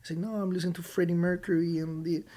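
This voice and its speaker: A music expert from Peru talking as a guest on a casual podcast. The voice sits at a middle pitch. Delivery is halting, with repeated words and little acted-out quotes in the anecdotes. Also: level tone, dark tone.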